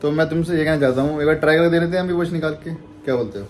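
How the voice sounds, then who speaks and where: A man's voice: fairly high pitch, very fast. A man, a student vlogger, chatting casually with friends in a lightly scripted style. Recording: faint background noise.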